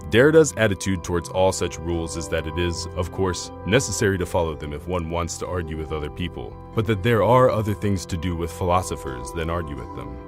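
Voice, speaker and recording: A man reading scripted narration in a formal, argumentative, bookish manner. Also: sits low. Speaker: video essay narrator.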